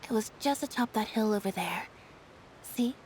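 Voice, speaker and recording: A woman playing a quiet, reserved young woman in a video game, subdued, breathy and melancholy. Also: a little high, normal speed, a little hiss or hum, close to an everyday voice. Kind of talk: English video game voice acting.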